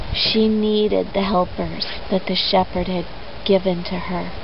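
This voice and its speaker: Slow-speaking woman storyteller, slightly dull recording. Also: mid-range voice, creaky. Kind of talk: expressive children's story retelling with simple explanation. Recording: dull lo-fi recording with background noise.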